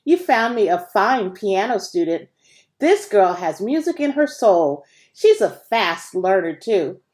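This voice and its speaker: An encouraging storybook mother , voiced by a woman narrator, brisk and affectionate as a piano teacher. Her mid-pitched voice rises and falls with lots of animation. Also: big pitch swings, a little mellow. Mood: warm, encouraging.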